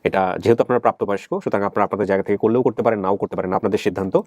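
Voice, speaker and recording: A man's voice: lowish voice, fairly even intonation, very fast, darkish tone, no room sound. A man with a Bangladeshi accent speaking as a show host, earnestly explaining things to a guest and mixing in English words. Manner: mixes English words into speech. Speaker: host of a family reunion show.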